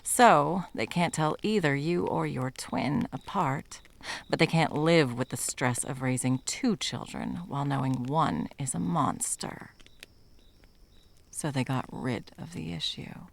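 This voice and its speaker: A woman's voice: low voice, a little slow, creaky, full character voice. A woman playing a wry, menacing monster hunter who is sadly in love, in intimate ASMR roleplay with a theatrical, sardonic delivery that dips into whispers. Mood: grim, pointed.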